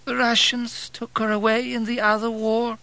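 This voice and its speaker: A man's voice: very high-pitched, a little slow, pushed and bright, pitched above the performer's own voice, exaggerated voice. A man narrating an audiobook, voicing a querulous, whining, frail old woman with a thin, cracked, quavering voice. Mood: resigned, dull. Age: elderly. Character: querulous, toothless, frail old Polish peasant woman, suspicious.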